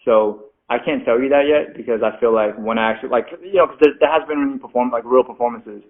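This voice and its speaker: A man's voice: mid-range voice, fast talker. A man who is a rapper as a guest, chatting casually and filling his talk with "like," with little laughs. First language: Mandarin. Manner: chatty, says "like" constantly, self-deprecating laughs. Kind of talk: casual interview chat. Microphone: video call. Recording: phone-like video call audio.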